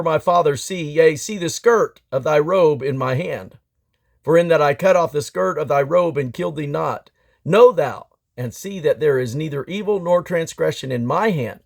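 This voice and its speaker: A man's voice: fairly high pitch, gravelly. A man reading the Bible aloud dramatically, with emphatic delivery of archaic phrasing. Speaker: scripture reader.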